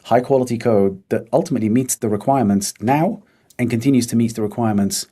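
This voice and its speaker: A man's voice: mid-range voice, quick pace, mellow. A man chatting casually as a co-presenter, repeating phrases for emphasis in a choppy flow. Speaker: consultancy founder, co-presenter. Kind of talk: casual chat.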